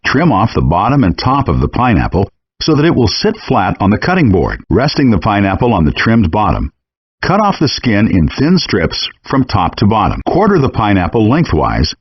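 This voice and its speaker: A man's voice: on the low side, breathy edge, no echo. A man reading scripted cooking instructions step by step, as an instructional narrator in a game. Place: dry close voice-over recording. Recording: muffled lo-fi handheld game audio.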